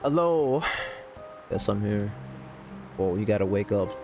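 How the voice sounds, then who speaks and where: A man with a lowish voice casually reacts to a game he is playing. He talks very slowly, with music clearly audible behind him. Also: animated, mellow. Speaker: gaming streamer reacting to a playthrough.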